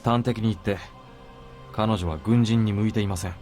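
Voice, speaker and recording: A man plays an earnest male soldier in a visual novel, polite yet challenging toward his superior. The voice is fairly low and very quick, over background music. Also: steady tone, a little mellow, natural voice. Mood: frank, reproving. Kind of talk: Japanese visual novel voice acting. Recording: game music under the voice.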